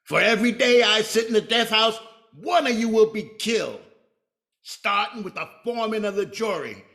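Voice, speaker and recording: A man's voice: high-pitched, animated, normal speed, bright, forceful tone, full character voice. An actor playing a vengeful condemned gangster, talking in threatening tough-guy slang in an old-time radio crime drama.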